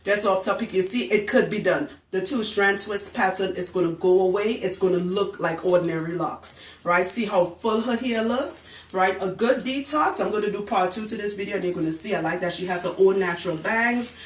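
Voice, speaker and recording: A woman's voice: mid-range voice, brisk, hardly any pauses, slight background noise. A woman hosting a casual live stream about hair care, blunt and teasing, who laughs often. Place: indoors in a room, live broadcast. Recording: roomy, distant live-stream audio.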